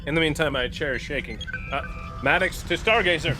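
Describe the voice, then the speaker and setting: A man's voice: on the high side, a little fast, over music. A man playing a tabletop role-playing game, switching between casual banter and dramatic in-character lines with a joking manner.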